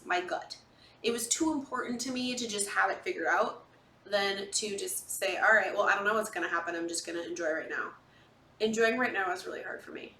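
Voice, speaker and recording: Echoey distant recording, woman in her forties, higher-pitched voice, candid confessional chat, emphatic delivery. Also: pushed and bright. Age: 40s. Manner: candid, emphatic, dramatizes her own inner dialogue. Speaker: podcast and video host. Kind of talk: casual confessional monologue. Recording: echoey room, distant microphone.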